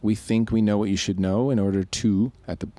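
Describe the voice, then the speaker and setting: A man from Switzerland co-hosts a podcast with a very low voice. He explains his ideas loosely and reflectively, often restarting sentences. The sound is close and dry. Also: steady tone, fairly quick, mellow, no echo. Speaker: podcast co-host. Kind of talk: casual podcast conversation.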